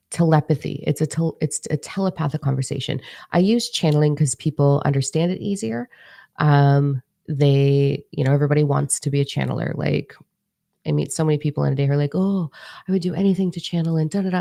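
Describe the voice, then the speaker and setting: A woman's voice: sits low, gravelly, dark tone, no echo, studio quality. A woman talking casually about channeling, rambling with many ums and restarts; her first language is Italian. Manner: rambling, chatty, frequent ums and self-corrections. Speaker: spiritual channeler. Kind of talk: casual monologue to camera.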